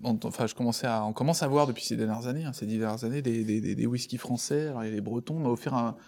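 A man of middle pitch leads a relaxed interview. He speaks informally and often nods along with a quick "ouais" or "d'accord" between his questions. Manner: friendly, informal, many short acknowledgements. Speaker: interviewer, host. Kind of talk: casual interview talk.

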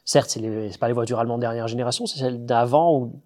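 A man's voice: mid-range voice, fast talker, a little mellow. A man, a distiller, talking in a casual interview, explaining with enthusiasm but with many fillers and restarts. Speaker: distiller, guest.

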